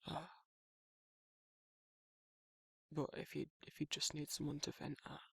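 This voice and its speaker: Man as a gravelly Northern English werewolf. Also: fairly high pitch, completely flat delivery, quick pace, no echo, studio quality, heavily acted. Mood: gentle, reassuring. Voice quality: husky, gravelly. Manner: hesitant, informal, stumbling over feelings. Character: gruff but caring young male werewolf, awkwardly protective. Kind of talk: intimate ASMR roleplay.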